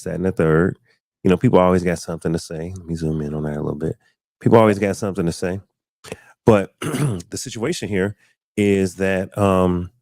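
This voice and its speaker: Laid-back low-voiced male podcast host. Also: mellow, dry recording, studio quality. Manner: laid-back, laughs often, repeats himself. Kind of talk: casual podcast chat.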